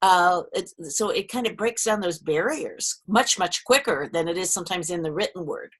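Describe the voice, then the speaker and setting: A woman's voice: lowish voice, lively intonation, moderate pace, slightly rough. A woman moderating a casual live online panel who often restarts and stumbles over her words. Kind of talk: casual panel chat on a livestream.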